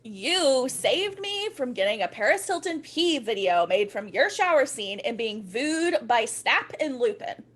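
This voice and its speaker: A woman's voice: fairly high pitch, very animated, measured pace, few pauses. A woman voicing a snarky, melodramatic goth teenage girl in an amateur dramatic reading of fan fiction. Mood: scornful, angry.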